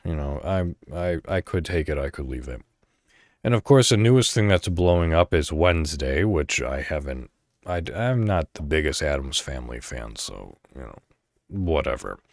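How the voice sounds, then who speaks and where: Low-voiced man, lively casual podcast host. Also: no echo, studio quality. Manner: informal, conversational, rambling asides. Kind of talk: casual solo podcast commentary, partly reading articles aloud.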